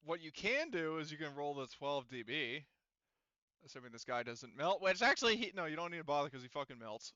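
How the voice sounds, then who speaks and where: Guy game mastering a tabletop rpg, crisp bright voice, dry slightly muffled mic, swears casually. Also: fairly high pitch, big pitch swings, brisk, no echo. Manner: informal, sweary.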